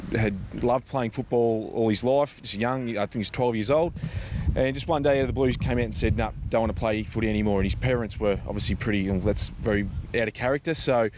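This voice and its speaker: Australian man, interview guest, medium pitch, halting informal delivery, faint noise behind. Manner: hesitant, halting phrasing with many pauses. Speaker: interview guest, charity foundation organiser. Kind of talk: informal spoken interview answers.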